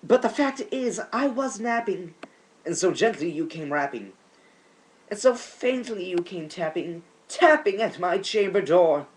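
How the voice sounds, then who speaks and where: A man's voice: high voice, expressive, unhurried, pitched above the performer's own voice. Man acting troubled midnight scholar, theatrical and emphatic. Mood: nervous, pleading. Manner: theatrical, emphatic, archaic formal speech. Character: troubled, grieving scholar alone at midnight, fearfully polite.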